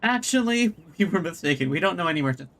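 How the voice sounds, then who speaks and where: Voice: medium pitch, expressive, fast talker, fairly bright tone. A streamer giving casual gaming commentary, wry and hesitant, often stammering over words. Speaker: streamer playing a game. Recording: faint game music behind.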